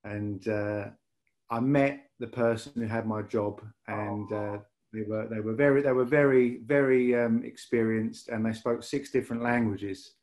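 A man's voice: lowish voice, steady tone, measured pace, a little mellow. A man with a Lancashire accent, a podcast guest telling his story in a rambling way, with many restarts. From Lancashire, England. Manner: rambling, repeats words and restarts often. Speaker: podcast guest, rail industry manager. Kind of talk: casual podcast interview.

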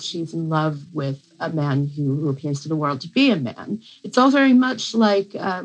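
A woman's voice: low-pitched, breathy edge, poor audio. A woman literature professor speaking as an interview guest, thinking aloud with frequent hesitations and restarts. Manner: thoughtful, halting, many fillers and restarts. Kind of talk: interview talk.

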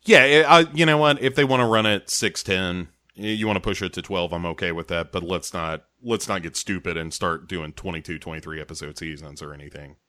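A man with a bright, forceful tone recaps a TV episode in casual podcast chat. He talks eagerly and emphatically, often stumbling over repeated starts. His voice sits fairly low. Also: brisk, few pauses. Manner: energetic, stammering restarts, emphatic. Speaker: podcast co-host.

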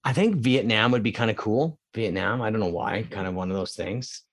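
A middle-aged man from Canada, a coach being interviewed, talking emphatically with rhetorical questions and swings between excited and quiet reflection. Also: lowish voice, brisk. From Canada (Edmonton). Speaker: real estate coach, guest. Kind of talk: casual podcast interview.

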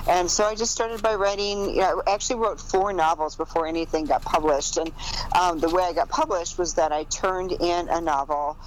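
A woman's voice: lowish voice, fairly even intonation, a little fast. Chatty American woman author, noisy recording. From American Midwest. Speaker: author being interviewed. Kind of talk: casual conversational storytelling. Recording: noticeable background noise.

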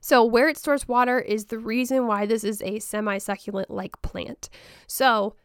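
A young woman hosting a casual podcast about houseplants. She talks in a chatty, rambling way with ums and light laughs, and her voice is still hoarse from the flu. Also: on the high side, fairly even intonation, normal speed, no room sound, studio quality. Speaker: podcast host.

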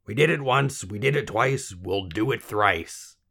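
A man voicing a sly, gloating little old gnome man from a fairy tale, croaky, snappish and taunting. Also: on the low side, expressive, unhurried, a bit bright, voice pushed up, exaggerated voice. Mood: scheming.

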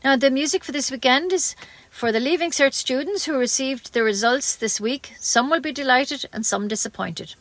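Irish lady reading community radio news off a script, careful and measured, dry sound. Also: high voice, a bit bright, no room sound. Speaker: local community radio newsreader.

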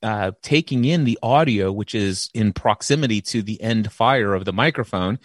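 Loud, gear-loving man on a close mic. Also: lowish voice, moderate pace, no echo. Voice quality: loud, powerful voice. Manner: enthusiastic, explains gear in detail. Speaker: podcast guest. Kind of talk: casual podcast conversation. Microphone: close dynamic microphone.

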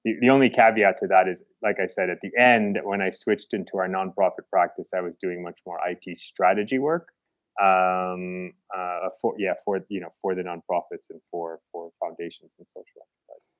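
A man from the Chicago area, a conservation founder answering interview questions in a halting way, with many restarts. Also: low voice, fairly even intonation, dry recording, highs cut off. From Chicago, United States.

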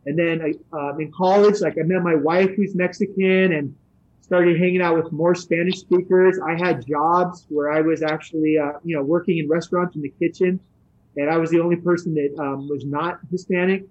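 Rambling high-voiced man, many fillers. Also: fairly even intonation, moderate pace, darkish tone, dull audio. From San Francisco area. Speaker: Spanish teacher. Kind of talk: casual interview answers.

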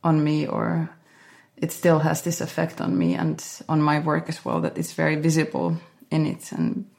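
A woman from Finland, a choreographer, answering interview questions in a thoughtful, halting way with many restarts. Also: low voice, creaky, darkish tone. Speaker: guest choreographer. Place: Berlin.

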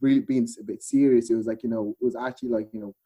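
Male guest, Irish accent, relaxed dark timbre, middle pitch, loose conversational storytelling. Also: rapid-fire, gravelly. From Ireland. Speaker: podcast guest. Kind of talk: casual chat, storytelling.

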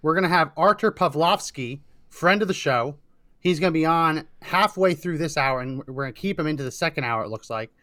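A man's voice: on the high side, expressive, fairly quick, bright, forceful tone, dry recording, clean studio sound. Laughing, teasing male talk-show host. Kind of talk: casual talk show banter.

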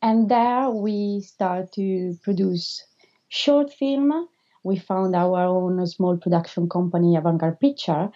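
A woman in her thirties from Italy with an Italian accent, answering interview questions as a filmmaker, pausing often with filler sounds and non-native phrasing. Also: lowish voice, dark tone, highs cut off.

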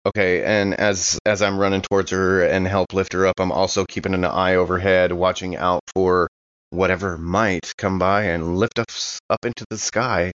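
A man's voice: low-pitched, level tone, moderate pace, a bit bright, dry recording, highs cut off. A man playing a tabletop game, casually roleplaying and describing his character's actions.

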